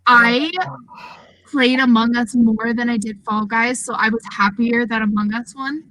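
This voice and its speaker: A woman's voice: on the high side, steady tone, measured pace, a bit bright, poor audio. A woman talking casually in a group chat about video games.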